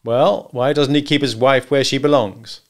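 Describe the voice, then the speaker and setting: A man's voice: mid-range voice, steady tone, moderate pace, voice pushed up, natural voice. A man voicing a cool, self-assured hunter in a storybook reading, with a throaty, clipped, terse delivery. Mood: contemptuous. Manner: clipped, quiet-tempered, terse.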